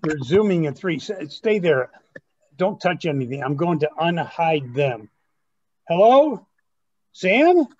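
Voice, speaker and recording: An earnest, self-absorbed young grandson played by a man in a comic play reading. His voice is husky and swings widely in pitch as he eagerly, haltingly explains himself. The sound is a bit dull, like a video call. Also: big pitch swings, darkish tone, heavily acted. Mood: excited.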